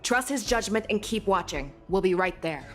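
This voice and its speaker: An actress playing a steady fox-folk general in a video game, composed and authoritative. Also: female voice, mid-range voice, normal speed, faint music behind, natural voice. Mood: commanding. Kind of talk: dramatic video game acting.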